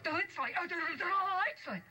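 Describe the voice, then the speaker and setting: A woman voicing a bunch of excitable little male dwarfs, high and stammering, in a read-aloud storybook. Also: brisk, exaggerated voice. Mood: alarmed. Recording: home recording with clear background noise.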